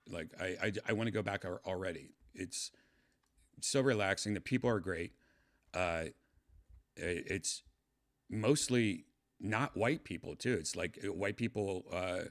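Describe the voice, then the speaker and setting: A man in his fifties chatting casually to his livestream viewers, rambling with lots of fillers and restarts. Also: fairly low pitch. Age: 50s. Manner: meandering, trails off, many fillers and restarts. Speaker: livestream host.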